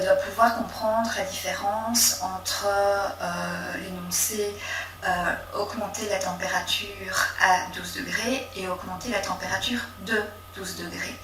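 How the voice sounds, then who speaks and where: A woman teacher explaining classroom language difficulties in a measured way with fillers. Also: medium pitch, sharp tone, reverberant, far from the mic, low-quality recording. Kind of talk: explanatory interview answer.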